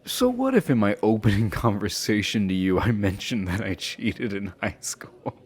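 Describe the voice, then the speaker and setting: Man playing a deep-voiced flirty himbo jock. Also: animated, darkish tone, close to an everyday voice. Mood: playful teasing. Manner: halting, bashful chuckling, teasing. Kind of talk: romantic roleplay audio acting, addressed to listener.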